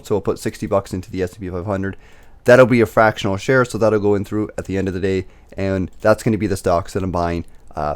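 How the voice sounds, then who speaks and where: Young male host, slightly raspy, rapid casual patter with frequent fillers. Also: lowish voice, darkish tone. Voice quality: slightly raspy, recovering from illness. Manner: chatty, filler-heavy, self-correcting. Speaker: dividend investing channel host. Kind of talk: casual screen-share commentary.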